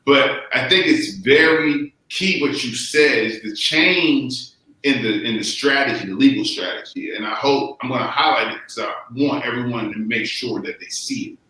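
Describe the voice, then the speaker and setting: A man, a lawyer, lays out a legal argument on a panel. He is emphatic and circles back to his key phrases. His voice is bright and forceful, and the recording has a strong, roomy echo. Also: medium pitch, moderate pace. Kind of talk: casual panel discussion. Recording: very echoey room.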